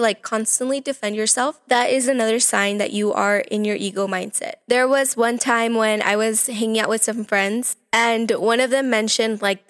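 A young woman hosting a casual self-help podcast, her voice slightly raspy from allergies, chatting with lots of filler words. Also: on the high side. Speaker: podcast host.

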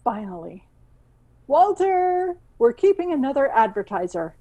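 A woman playing a proud, stubborn boss of a failing radio station in a scripted comedy, with brisk, dryly theatrical delivery. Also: high voice, big pitch swings, mellow, dull audio. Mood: brisk exasperation.

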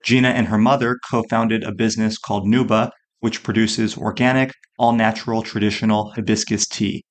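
A man's voice: on the low side, flat delivery, a little fast. A man hosting an interview podcast, introducing his guest and asking questions. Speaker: podcast host.

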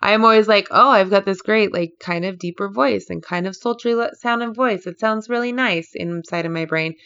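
A woman's voice: mid-range voice, normal speed, a bit bright, clean tone, lo-fi sound. A woman co-hosting a podcast in casual chat, reading prompts aloud, laughing often and trailing off with fillers. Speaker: podcast co-host.

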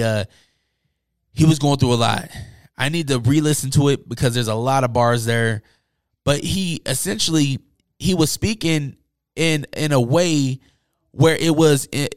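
A man's voice: medium pitch, no room sound. Male podcast host, informal and slangy, enthusiastic music chat, voice wearing out from overuse, close dry recording. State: losing his voice from talking all day. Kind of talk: casual music talk podcast. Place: indoors, upstairs room.

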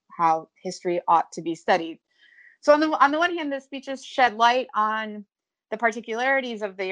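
A woman translator answering interview questions in a measured, academic way, with frequent ums and restarts. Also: lowish voice. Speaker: translator of ancient Greek.

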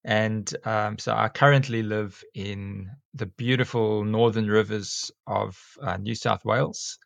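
A South African man of about forty chats as a podcast guest, rambling a little with plenty of ums. His voice sits low and has a gravelly, fry-edged texture. The sound is close and dry, with no room echo. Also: fairly even intonation, a little slow. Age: 40s. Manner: rambling, many ums and restarts. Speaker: guest, school teacher and snake catcher. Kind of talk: casual podcast interview.

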